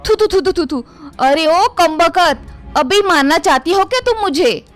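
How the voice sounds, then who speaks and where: A woman playing a dramatic, scheming, complaining Indian mother-in-law in an animated family story. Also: very high voice, measured pace, faint music behind. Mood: outraged. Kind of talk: animated Hindi moral story dialogue.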